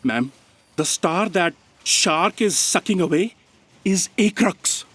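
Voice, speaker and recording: A woman playing a pedantic, deadpan editorial assistant in a sci-fi comedy audio drama, speaking in a measured way. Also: fairly low pitch, full character voice. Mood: dry.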